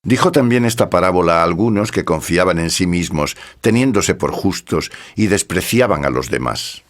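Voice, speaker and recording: A man's voice: low-pitched, expressive, moderate pace. A man narrating scripture from a script in a solemn, formal manner.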